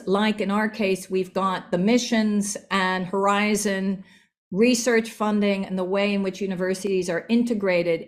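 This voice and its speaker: A woman speaking earnestly as a panel speaker in a group discussion session. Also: medium pitch.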